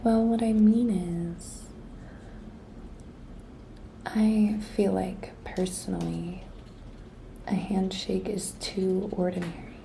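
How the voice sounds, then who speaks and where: Slow, whispery, flirty office intern played by a woman. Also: medium pitch, level tone, warm tone, a little hiss or hum, low-quality recording. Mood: flirtatious, suggestive. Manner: breathy, coy, slow and deliberate, often dropping to a whisper. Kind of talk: whispered ASMR roleplay.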